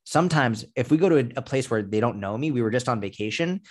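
A man in his thirties talks in a relaxed podcast conversation. His medium-pitched voice lays out points emphatically, like a coach explaining his trade, with casual swearing mixed in. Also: quick pace. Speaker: fitness coach and content creator, interview guest.

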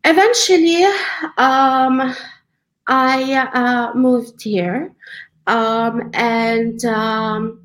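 A woman in her thirties who grew up in the UAE, a designer answering interview questions in a relaxed, conversational way with frequent fillers. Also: a little high, poor audio. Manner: conversational, many fillers, measured pace. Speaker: guest, designer.

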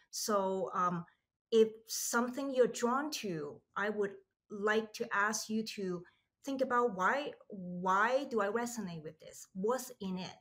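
A middle-aged woman from Hong Kong, a podcast guest telling her story in an earnest, reflective way with animated asides. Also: mid-range voice. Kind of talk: conversational storytelling.

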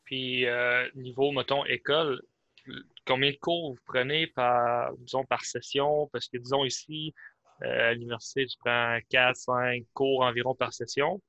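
A man with a bright, forceful voice who asks long questions that wander, full of hesitations. His tone stays even throughout. He sounds close and dry. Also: mid-range voice, unhurried, no room sound, dull audio. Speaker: interviewer. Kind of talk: casual interview questions.

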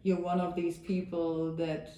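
A woman hosts a casual podcast interview, her voice sitting a little low. She chats in an encouraging way and thinks aloud with fillers. The room around her sounds roomy and echoey. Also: completely flat delivery, a little slow, mellow. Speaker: podcast host. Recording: roomy echo.

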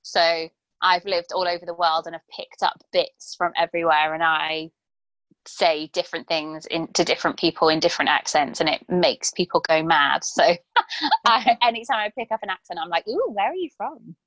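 A woman hosts a relaxed interview in a chatty, encouraging way. Her voice sits at a middle pitch. The sound is dry, with very little of the room in it. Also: normal speed, dry recording, poor audio. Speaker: podcast host interviewing a guest. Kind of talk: casual interview chat.

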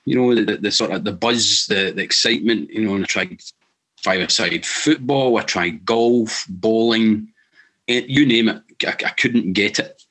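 Earnest Scottish man, lowish voice. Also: fairly bright tone. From Scotland. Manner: earnest, halting, many restarts. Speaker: guest. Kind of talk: casual storytelling.

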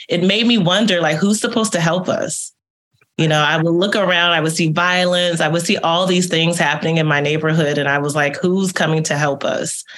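A woman's voice: low-pitched, fairly bright tone. A woman therapist from Texas, telling her life story earnestly as a podcast guest. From Texas, USA. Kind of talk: personal storytelling in an interview.

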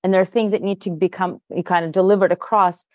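A woman's voice: fairly low pitch, fairly even intonation, quick pace, no echo. A woman whose first language is Russian, a podcast guest and leadership coach, explaining things earnestly in casual conversation with frequent "you know" and "like".

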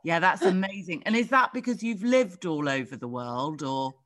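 A host chats in a casual interview, encouraging the guest with constant backchannels and bright exclamations. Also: mid-range voice, expressive, normal speed. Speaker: podcast host.

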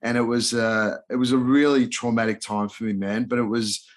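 A man's voice: medium pitch, little pitch movement, moderate pace. Laid-back Australian man, slangy. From Hungary. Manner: laid-back, slangy, many fillers and restarts. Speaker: guest, yoga and mindfulness coach. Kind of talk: casual podcast interview.

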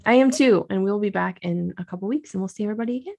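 A woman's voice: mid-range voice, very fast, gravelly, darkish tone, husky. A woman co-hosting a podcast, chatting casually with her sister, with lots of fillers and easy laughter. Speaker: podcast co-host. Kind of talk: casual chat.

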